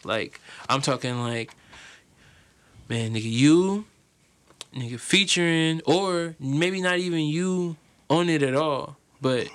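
A man in loose, slangy casual chat with a friend, with a soothing speaking voice that he says turns raspy when he raps. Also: fairly high pitch, slow pace, fairly bright tone. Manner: loose slangy banter, teasing.

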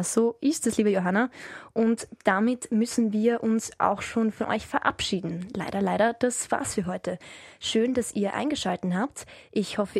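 A woman co-hosting a community radio show, reading announcements in an upbeat, smooth way. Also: mid-range voice, darkish tone, dry recording, studio quality. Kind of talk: scripted radio presenting.

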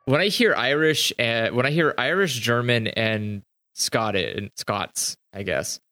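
A man's voice: medium pitch, animated, unhurried, bright, forceful tone. A man chatting casually with friends, joking and exaggerating his complaints. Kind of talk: casual group chat.

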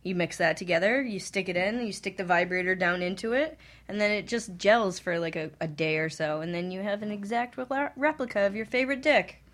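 A woman's voice: medium pitch, fairly quick, fairly bright tone. A woman explaining things in a casual, profane, blunt and matter-of-fact way.

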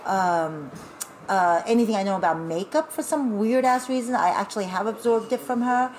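A woman from Taiwan who grew up speaking Taiwanese, talking as a guest in a casual interview, animated and chatty with laughing asides. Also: medium pitch, moderate pace, slight background noise, poor audio. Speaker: guest, burlesque performer.

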